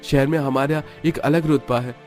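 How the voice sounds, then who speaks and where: A man voicing an earnest, protective young husband in an animated story. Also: medium pitch, normal speed, soft background music, voice pushed up, natural voice. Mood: concerned, placating. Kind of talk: animated story character dialogue.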